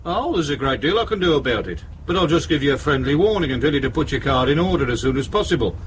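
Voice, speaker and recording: An actor playing a dogged, weary police detective in a radio drama, speaking in a blunt, sardonic, grumbling way. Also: male voice, a little high, expressive, fast, noisy background, natural voice. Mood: dry, polite.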